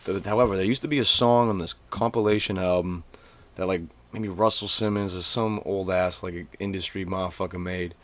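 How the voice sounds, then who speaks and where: A man's voice: low voice, steady tone, brisk. A man in his twenties from Maine, hosting a podcast in loose, slangy casual chat. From Maine, USA. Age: 20s. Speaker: podcast host.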